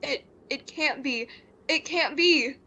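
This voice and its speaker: A woman's voice: high-pitched, slow pace, pushed and bright, exaggerated voice. A woman playing an earnest, cautious small-town citizen in an old-time radio drama. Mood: frightened awe. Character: earnest townsperson, cautious, formal at meetings. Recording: dull lo-fi audio.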